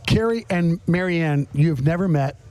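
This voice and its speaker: Higher-voiced American man hosting a podcast. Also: moderate pace, a little mellow, no room sound, poor audio. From Florida, US. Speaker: podcast host. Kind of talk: casual chat interview. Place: on location at a garage.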